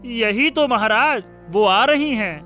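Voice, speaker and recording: Man playing a bright-voiced, fawning royal minister. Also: very high-pitched, lively intonation, takes it slowly, faint music behind, exaggerated voice. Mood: coaxing. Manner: stammering, obsequious, wheedling. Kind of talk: Hindi cartoon story voice acting.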